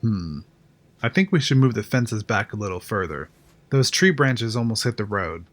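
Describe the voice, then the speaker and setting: A man's voice: fairly low pitch, expressive, normal speed. A man playing a tense, cautious control room engineer in an audio drama, with a guarded, practical manner. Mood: businesslike. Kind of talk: fan audio drama acting.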